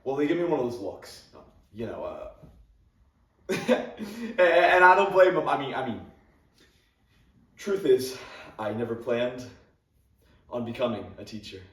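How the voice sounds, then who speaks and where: A man's voice: mid-range voice, sing-song, a little slow, pauses often, fairly bright tone. A young man playing an awkward, grieving male English teacher who stammers, repeats his words and gives nervous little laughs, in a dramatic monologue. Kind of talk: competitive dramatic interpretation monologue. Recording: roomy sound from a distant camera.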